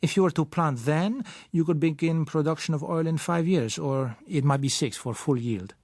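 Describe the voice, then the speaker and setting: A man voicing a suave, sly businessman in an audiobook, polite and measured, with soft hesitant pauses. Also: on the high side, no room sound, clean studio sound, voice pushed up. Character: suave, sly South American businessman, polite and gently insistent. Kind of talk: audiobook character voice.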